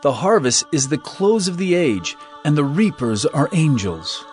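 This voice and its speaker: An actor playing an earnest male teacher of parables in a dramatized scripture reading, with measured, emphatic, declamatory phrasing. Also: on the high side, big pitch swings, a little mellow, faint music behind. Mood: solemn, explanatory.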